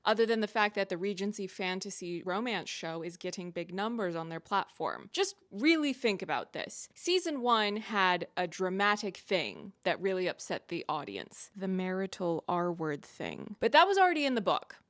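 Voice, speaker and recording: Woman reviewer chatting through her opinions, leans on key words, mid pitch, no room sound at all. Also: very animated, moderate pace, hardly any pauses, a bit bright, no echo, clean studio sound. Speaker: video essayist and reviewer on her own channel. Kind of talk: conversational video commentary, speculating and explaining.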